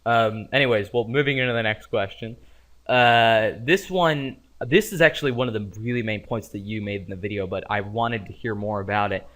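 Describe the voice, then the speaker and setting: A young man hosting a podcast, chatty and agreeable, with a squeaky voice. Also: moderate pace, a bit bright. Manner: agreeable, chatty, frequent backchannels. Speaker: podcast host. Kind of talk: casual podcast chat.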